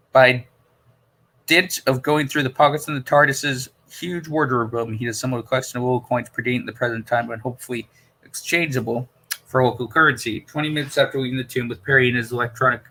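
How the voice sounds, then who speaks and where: A man's voice: mid-range voice, bright, forceful tone, rough recording. A man reading a science fiction novel aloud as an amateur narrator, stumbling over words and repeating phrases. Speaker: amateur audiobook reader.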